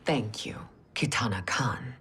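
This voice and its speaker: An actress playing a regal, scheming middle-aged empress in a video game, with formal, measured, haughty speech. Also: female voice, a bass voice, in a whisper. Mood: formal, deferential. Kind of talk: dramatic video game acting. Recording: loud music behind. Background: cinematic music.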